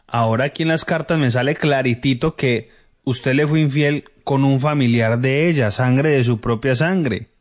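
A man's voice: mid-range voice, normal speed. A man working as a radio host, card reader and healer, giving live call-in advice in a familiar, emphatic way with rhetorical questions and repetition.

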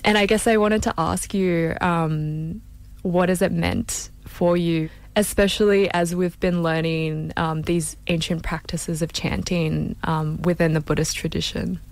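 A woman hosting a community radio show, speaking in a measured, earnest way with a few fillers. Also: lowish voice, fairly even intonation. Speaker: radio host.

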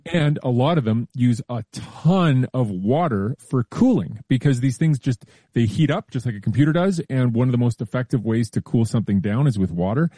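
A man explaining science news in a relaxed, careful way during a casual chat, with frequent hedges and restarts. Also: medium pitch, moderate pace, dry recording, clean studio sound. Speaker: science columnist.

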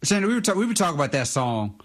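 A man's voice: a little high, big pitch swings, rapid-fire. A man bantering casually as a sports radio co-host.

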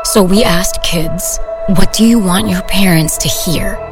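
A woman's voice: lowish voice, unhurried. A woman narrating a scripted public service announcement as its announcer. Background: music bed.

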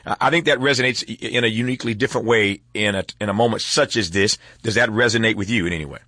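A man hosting a conversational radio interview, phrasing his questions in a deliberate, halting way. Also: lowish voice, bright, forceful tone, no room sound, clean studio sound. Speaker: talk show host.

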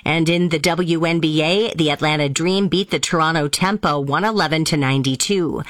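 A woman reading radio sports news in a crisp, measured way. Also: low-pitched, fairly bright tone, dry recording, studio quality. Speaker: news reader.

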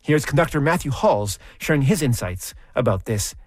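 A man hosting a classical music radio program, presenting in a measured, explanatory storytelling way from a studio microphone. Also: medium pitch, animated, no echo. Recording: dry studio sound.

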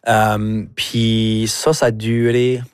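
A man's voice: fairly low pitch, level tone, extremely slow, clear, resonant tone. A man with an Acadian French accent, a musician chatting casually in an interview, telling anecdotes peppered with English words. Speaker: musician guest.

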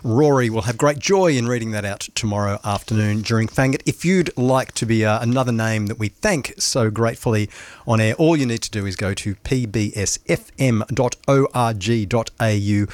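A man in a radio studio reads out thanks to supporters and trades dry jokes with his co-host. He speaks clearly, in a wry and good-humoured way. The sound is close and dry, with no room echo. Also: medium pitch. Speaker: programs manager. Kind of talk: on-air radio chat and thank-you read-outs. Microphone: studio microphone.

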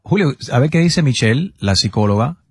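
A man's voice: mid-range voice, animated, brisk, breathy edge. A man hosting a radio call-in show, bantering casually and reading out phone numbers. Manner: reads phone numbers, bantering, switches to English.

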